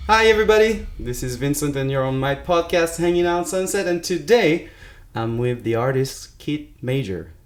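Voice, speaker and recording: A man with a French accent hosting a podcast, talking in an animated, chatty way with frequent restarts. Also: fairly high pitch, moderate pace, soft background music. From France. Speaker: podcast host. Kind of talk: casual interview chat.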